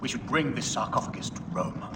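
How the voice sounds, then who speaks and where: An actor playing a covetous, purposeful Roman conspirator in a game cutscene, decisive and formal. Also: male voice, medium pitch, lively intonation, brisk, lightly acted. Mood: intent, grasping. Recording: distant, reverberant, clear background noise.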